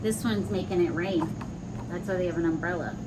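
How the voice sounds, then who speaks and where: A woman reading a children's picture book aloud, pausing to explain the pictures in simple words for young listeners. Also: lowish voice, very noisy.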